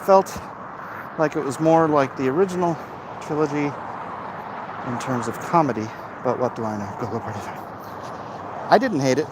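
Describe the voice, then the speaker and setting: A man chatting casually and giving opinions in a halting way, as if hosting a livestream. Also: medium pitch, background noise. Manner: halting, frequent throat clearing. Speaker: livestream host answering viewer comments.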